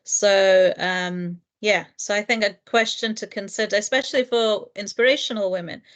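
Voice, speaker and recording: A woman teacher and lecturer answering interview questions in a thoughtful, earnest way, with frequent fillers and soft laughs. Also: medium pitch, normal speed, highs cut off. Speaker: guest, primary teacher and lecturer. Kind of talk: reflective interview conversation.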